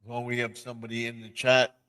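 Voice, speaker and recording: A man hosting a livestream in casual chat, halting and repeating himself as he goes. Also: mid-range voice, monotone, noisy background. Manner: repetitive, halting. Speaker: livestream host.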